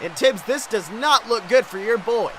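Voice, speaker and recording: A man's voice: high voice, moderate pace, sharp tone, slight background noise. A man doing dramatic, exclamatory play-by-play wrestling commentary as a podcast host, with a breathless delivery.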